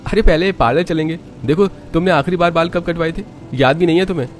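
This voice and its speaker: A man plays an eager young husband in a cartoon family story. His voice sits high and moves along quickly, with an affectionate, upbeat manner. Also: faint music behind, lightly acted. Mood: cheerful, caring. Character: excited young husband and son, cheerful and affectionate.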